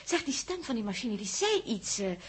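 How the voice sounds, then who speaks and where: A playful, excitable female space traveller in a sixties radio serial. Her voice is high and very breathy, rising and falling in lively sweeps. She teases, exclaims and repeats words as she goes. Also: fairly quick, heavily acted. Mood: mocking. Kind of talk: 1960s Dutch radio drama acting.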